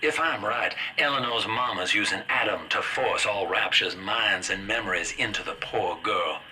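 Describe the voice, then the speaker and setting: An actor playing a folksy, plain-spoken guide and ally in a video game, colloquial and matter-of-fact. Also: male voice, lowish voice, moderate pace, sharp tone, a little hiss or hum, poor audio. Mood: grave, urgent.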